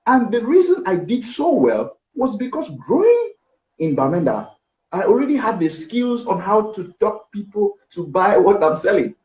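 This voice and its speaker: A middle-aged man with a Cameroonian accent tells stories in a conversational way. His pitch is slightly high and very animated. The sound is echoey, as from an office on a video call. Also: moderate pace, highs cut off. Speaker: interview guest, business executive. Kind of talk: conversational personal storytelling. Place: indoor office. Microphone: video call, seated back from device.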